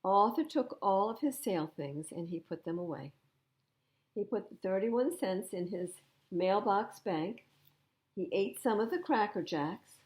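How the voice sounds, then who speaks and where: A woman reads a children's story aloud as a storytime host. Her pitch is lively and varied, and she adds conversational asides between passages. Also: measured pace. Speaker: library storytime reader.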